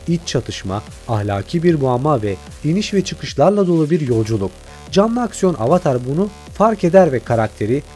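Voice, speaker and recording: A man's voice: a little high, warm tone. A man delivering scripted video essay narration as a channel host.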